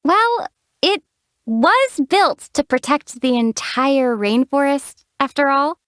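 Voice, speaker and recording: A woman voicing a calm young female traveler hero who teases in a deadpan way, in studio game voice acting. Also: high voice, slow pace, no room sound, heavily acted. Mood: dry. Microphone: studio mic.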